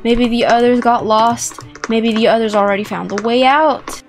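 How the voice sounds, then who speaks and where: A young woman giving playful, excitable, dramatic commentary as she plays a video game. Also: a little high, moderate pace. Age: young adult. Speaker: gaming video host. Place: indoor room with plush toys. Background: music.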